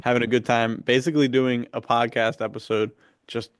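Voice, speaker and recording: A man's voice: mid-range voice, flat delivery, fairly quick, clear, resonant tone, no room sound, studio quality. A man co-hosting a podcast in casual, slangy, bro-ish chat. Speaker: podcast co-host.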